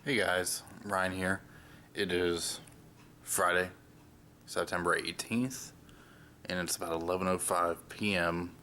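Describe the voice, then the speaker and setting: A young man talks through a casual vlog while under the weather, rambling and pausing on lots of fillers. His voice sits very low and flat, with a gravelly rasp. He speaks slowly and unhurriedly. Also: slight background noise. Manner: rambling, hesitant with many fillers. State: sick, recovering from lost voice. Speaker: vlogger.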